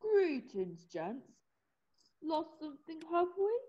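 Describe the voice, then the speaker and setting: A woman's voice: high voice, very animated, takes it slowly, mellow, highs cut off, exaggerated voice. A woman playing a suave, sly, witty male fox with an ironic way of talking in an amateur readthrough. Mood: sly, feigned innocence.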